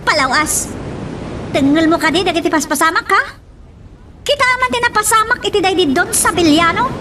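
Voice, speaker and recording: A woman playing a defiant, sharp-tongued young village woman in a radio drama. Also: very high voice, brisk. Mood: challenging. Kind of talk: Ilocano radio drama acting. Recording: distant mic with faint background noise.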